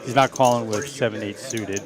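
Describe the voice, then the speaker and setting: A man's voice: lowish voice, fairly even intonation, normal speed. Middle-aged male poker commentator, chatty and laughing, casino crowd behind.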